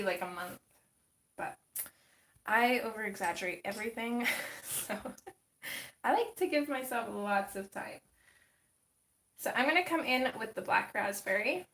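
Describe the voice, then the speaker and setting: Livestream host, woman, slightly high voice, chatty, getting over a cold, roomy echo. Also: a bit bright. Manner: chatty, greets viewers by name, thinks aloud. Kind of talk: casual livestream chat while coloring.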